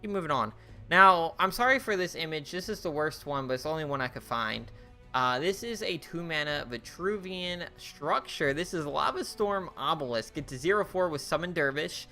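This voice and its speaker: A man's voice: a little high, animated, moderate pace, pushed and bright, faint music behind. A young man casually reviewing cards for a card game, thinking aloud with many fillers and restarts, his voice getting worn. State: losing his voice.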